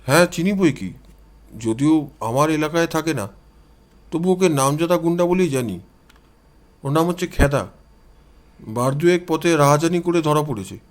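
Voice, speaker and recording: A man's voice: fairly high pitch, normal speed, long pauses, pitched below the performer's own voice, lightly acted. Man as a skeptical, impatient police officer. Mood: puzzled, informative.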